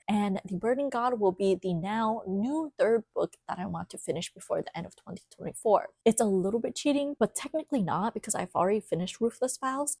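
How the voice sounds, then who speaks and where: A young woman whose first language is Vietnamese, chatting casually and animatedly to camera, with quick restarts. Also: medium pitch, darkish tone, no echo. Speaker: book vlogger.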